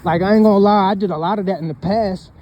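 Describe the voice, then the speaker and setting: A man's voice: high voice, steady tone, fast talker, dull audio, a little hiss or hum. A man of thirty, giving a casual, rambling motivational talk and often tagging lines with "man" and "you know what I'm saying". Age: 30s. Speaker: vlogger.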